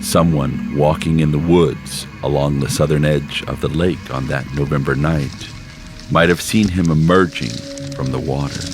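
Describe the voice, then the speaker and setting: A man reading a literary short story aloud in measured, unhurried scripted narration, with slowed phrases. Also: very deep voice, fairly even intonation. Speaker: story reader. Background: background score music.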